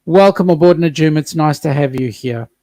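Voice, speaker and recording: A man's voice: fairly high pitch, brisk, dark tone, no room sound. Chatty man reading out a live chat. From South Africa. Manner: chatty, reads out viewer comments. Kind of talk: casual livestream chat.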